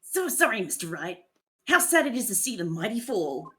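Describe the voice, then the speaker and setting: A woman voicing a cool, condescending young male prosecutor, measured and mocking, in a live game fan dub. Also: medium pitch, big pitch swings, a bit bright.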